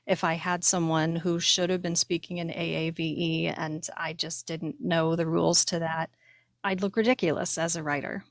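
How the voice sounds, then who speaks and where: A woman's voice: on the low side, flat delivery, moderate pace, no room sound, clean studio sound. A woman co-hosting a casual chat, informal and thinking aloud in questions to herself. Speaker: podcast host and author. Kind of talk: casual chat about writing.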